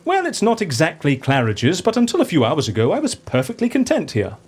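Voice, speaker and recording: An actor playing a witty, pompous prisoner in a comedic audio drama, theatrical and sarcastically polite. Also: male voice, a little high, huge pitch swings, brisk, slightly rough, heavily acted. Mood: droll, wry. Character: witty, pompous, exasperated male prisoner and schemer.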